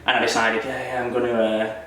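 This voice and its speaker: A young man talks quickly in a loose, rambling way, often poking fun at himself and laughing. His voice sits at an ordinary pitch but comes from some distance off the mic, and it bounces around a very echoey room. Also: level tone, a bit bright. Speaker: wrestler interviewee. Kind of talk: casual interview chat.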